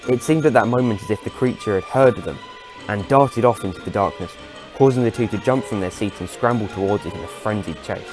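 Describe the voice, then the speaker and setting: A man's voice: fairly low pitch, a little fast, over music. A man narrating a scripted adventure story as its storyteller.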